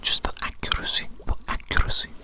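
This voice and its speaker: Someone acting out an evil doctor for asmr, all whispered, super polite but condescending, repeats stuff slowly and deliberately. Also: natural voice. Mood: methodical, patronising. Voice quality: breathy unvoiced whisper. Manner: deliberate, repetitive, formally polite. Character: evil doctor giving a hearing test, politely menacing, condescending. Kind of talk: whispered ASMR medical roleplay.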